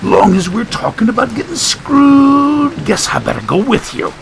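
A man's voice: extremely high pitch, very animated, normal speed, gravelly, noisy background, voice pushed up, heavily acted. A man voicing a folksy, preachy wisecracking man who talks in country grammar and sermonizing quips. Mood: teasing.

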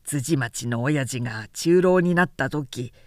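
A woman's voice: extremely low pitch, takes it slowly, dry recording, clean studio sound, pitched below the performer's own voice. A woman voicing a garrulous, self-important middle-aged samurai man who lectures at length in a storybook reading. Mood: pompous, rambling. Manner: long-winded, pompous, lecturing.

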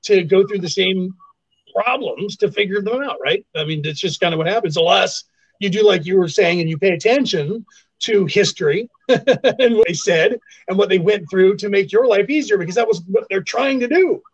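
A man's voice: high-pitched, moderate pace, no echo, lo-fi sound. A man co-hosting a podcast, talking reflectively and explaining at length. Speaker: podcast co-host. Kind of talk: casual podcast conversation.

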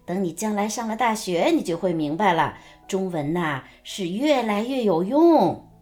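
Casting: woman as gentle, proud mom, medium pitch, lilting expressive delivery, radio drama. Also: moderate pace, soft background music, natural voice. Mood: gentle, encouraging. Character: gentle, coaxing, proud mother of a young girl.

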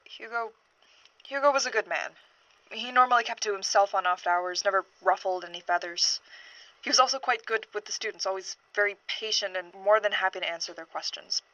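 Actress as worried lady professor, halting earnest statement, horror podcast drama, slightly dull recording. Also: medium pitch, pushed and bright, natural voice. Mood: troubled, earnest. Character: troubled female university biologist reporting a missing colleague.

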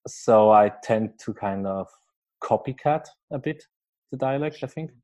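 A man's voice: mid-range voice, measured pace, dark tone. A man who is not an English speaker, hosting a podcast interview in casual chat, with many fillers, restarts and frequent laughter. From Switzerland. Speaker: podcast host.

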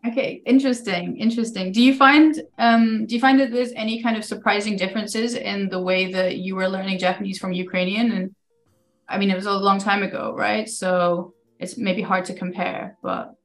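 A woman hosts a podcast interview at a moderate pitch. She asks curious follow-up questions in a conversational way, sometimes thinking aloud as she speaks. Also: fairly even intonation, normal speed. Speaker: podcast host.

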